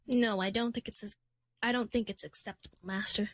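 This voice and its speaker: A woman's voice: mid-range voice, fairly even intonation, brisk, no echo, natural voice. A woman acting as an obedient young female apprentice in a sci-fi roleplay, deferential and hesitant. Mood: meek, agreeing. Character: obedient young female Sith apprentice, timid and loyal to master.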